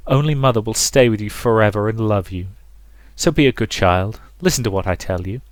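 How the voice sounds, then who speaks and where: A man reads as a manipulative mother from a visual novel, in a fairly low voice. She is cruel and possessive, talking down in a coaxing way. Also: brisk, dry recording, natural voice. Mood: scornful, possessive. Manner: condescending, coaxing.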